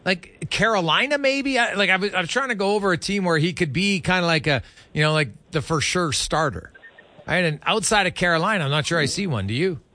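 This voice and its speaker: Bright, forceful male sports radio host. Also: high voice, lively intonation, fairly quick, no echo. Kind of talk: conversational hockey interview.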